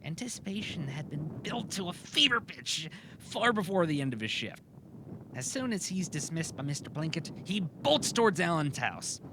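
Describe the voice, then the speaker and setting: Casting: male storyteller for scripted fantasy narration, slightly high pitch, lively swings in intonation. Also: moderate pace. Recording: clearly audible background noise.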